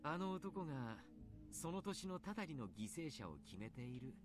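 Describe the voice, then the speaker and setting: Casting: composed young male doctor for a visual novel, brisk and polite, music underneath. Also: fairly high pitch, big pitch swings. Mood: grave, measured. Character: calm, courteous young male doctor explaining grim rumours. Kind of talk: Japanese visual novel voice acting. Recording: music behind the voice. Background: game music.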